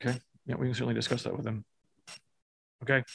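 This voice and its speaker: Male commission chair, procedural and halting, mid-pitched. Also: flat delivery, vocal fry, a bit bright, slightly rough. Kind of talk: meeting chairing.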